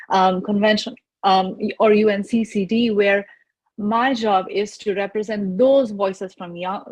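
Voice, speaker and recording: Female, 20s, Nepali accent, mid pitch, slightly husky; earnest youth speaker presenting on a video call. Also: a little mellow, poor audio. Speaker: youth representative and panel speaker. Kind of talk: personal presentation to an online audience.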